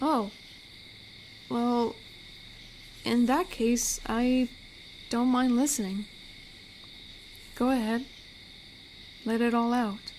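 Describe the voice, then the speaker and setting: Slow, gentle female werewolf played by a woman. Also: on the high side, steady tone, slight background noise, rough recording. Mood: comforting, sincere. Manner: unhurried, soothing, reflective, with pauses. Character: amiable, protective, lonely female werewolf, comforting and gentle. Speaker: voice actor in audio roleplay. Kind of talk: intimate scripted audio roleplay. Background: night forest ambience with crickets.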